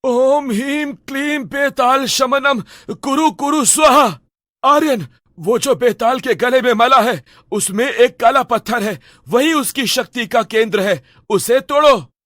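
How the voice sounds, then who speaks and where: Guy doing old holy man exorcist in a horror cartoon, high voice, stern n urgent, dry studio sound. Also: measured pace, fairly bright tone, no echo. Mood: commanding. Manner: urgent, commanding, incantatory. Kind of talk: Hindi animated horror story acting.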